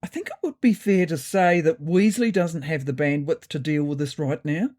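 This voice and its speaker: Woman voicing a loud, bluff cat spin doctor. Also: low voice, moderate pace. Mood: bluff, emphatic. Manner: bluff, corporate buzzword-laden, emphatic. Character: jargon-spouting, self-assured male cat political aide. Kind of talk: audiobook storytelling.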